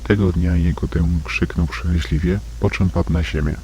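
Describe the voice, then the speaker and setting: A man's voice: sits low, steady tone, moderate pace. A man narrating a scripted analog horror case file in a detached, report-like manner. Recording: faint hum and background noise.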